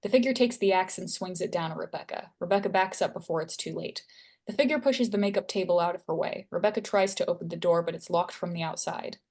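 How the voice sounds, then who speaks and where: A woman serves as narrator at a screenplay table read. She reads scene headings and action lines in a slightly low voice. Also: quick pace, a little mellow. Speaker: stage directions narrator.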